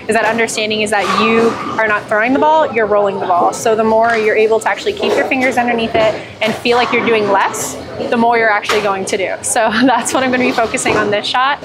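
A young woman and pro bowler explaining her practice to camera, easygoing and step by step, on a lapel mic in a large indoor bowling alley. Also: fairly high pitch. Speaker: professional bowler coaching. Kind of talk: casual instructional talk to camera. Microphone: clip-on lapel mic. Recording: loud bowling alley noise behind. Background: bowling alley noise, clicks.

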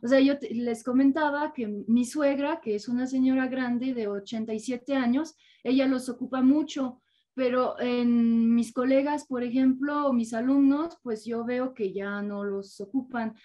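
A woman's voice: high voice, warm tone, lo-fi sound. A native French speaker, a woman, politely asking a question in an online talk, hesitant and restarting words. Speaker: audience member asking a question. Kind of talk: asking a question on a video call.

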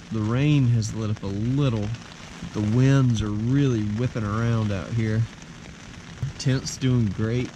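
An American man around forty chatting casually to camera while lying inside a small tent. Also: medium pitch. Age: 30s to 40s. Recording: rain and wind noise on tent.

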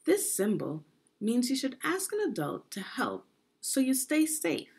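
A middle-aged woman with a slightly husky voice, giving a deliberate, instructive read-aloud lesson for young children. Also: high voice, wildly swooping pitch, darkish tone. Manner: deliberate, instructive, with pauses and questions. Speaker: education consultant reading aloud to children.

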